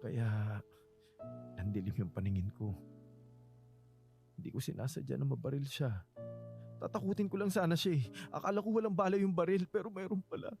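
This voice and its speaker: A guilt-ridden man pleads in a radio melodrama. His voice is on the high side, apologetic and anguished, with music playing underneath. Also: normal speed, gravelly, long pauses, darkish tone. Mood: desperate pleading. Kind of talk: melodramatic radio drama acting. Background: background music.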